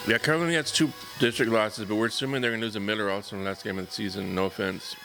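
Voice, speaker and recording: A man's voice: on the low side, steady tone, very fast, gravelly, bright, forceful tone, soft background music, low-quality recording. A man, co-hosting a casual sports chat, blunt and swearing freely. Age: 40s. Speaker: co-host.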